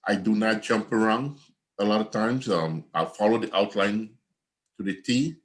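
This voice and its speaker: A man's voice: lowish voice, moderate pace. An older man from Guyana, an accounting professor giving a conversational, rambling online class lecture over a video call from home. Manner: conversational, repetitive, digressive.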